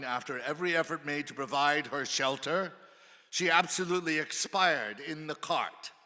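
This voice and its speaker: In a reverberant hall, a man delivers a dramatic reading of a historical account. His voice is forceful and sharp at a middling pitch, and his phrasing is formal and oratorical, with weighted pauses. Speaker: actor giving a dramatic reading. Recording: reverberant hall with long echo.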